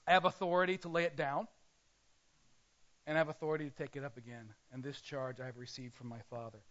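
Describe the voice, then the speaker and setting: A male pastor preaching a sermon in a conversational way, often pausing and correcting himself. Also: medium pitch, fairly even intonation, a bit bright, highs cut off.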